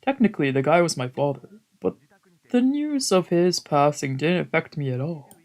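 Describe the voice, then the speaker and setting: A woman's voice: low-pitched, lively intonation, moderate pace, a little mellow. A woman VTuber streamer reading visual novel narration aloud in a dramatic storytelling style.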